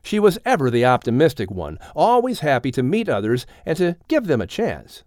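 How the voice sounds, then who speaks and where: Animated male storybook narrator, dry studio sound. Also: on the high side, normal speed, no room sound. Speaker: audiobook narrator. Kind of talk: scripted children's story narration.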